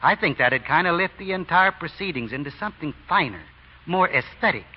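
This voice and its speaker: A crafty, homespun deacon, full of folksy sayings. His slightly high voice swings up and down with relish. The sound is a muffled, lo-fi old radio broadcast. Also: animated, brisk, breathy edge. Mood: sly. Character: sly folksy traveling man posing as a deacon. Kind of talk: 1940s radio acting.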